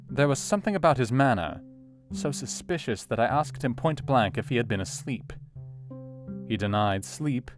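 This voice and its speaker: Casting: man as a doctor narrating his journal in audio drama, lower-leaning pitch, music bed. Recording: music behind the voice.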